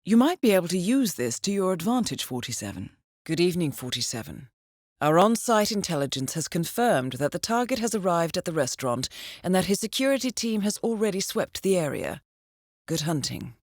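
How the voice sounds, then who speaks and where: An actress playing a coolly professional handler who briefs an assassin in a video game, speaking in a composed, formal with dry understatement. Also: female voice, lowish voice, sing-song, fairly quick, darkish tone, no room sound. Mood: calm briefing.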